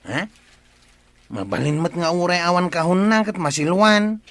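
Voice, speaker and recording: An actor with an Ilocano accent playing a gruff village man in a comedy radio drama. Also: high voice, sing-song, moderate pace, fairly bright tone, no echo, heavily acted. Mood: puzzled.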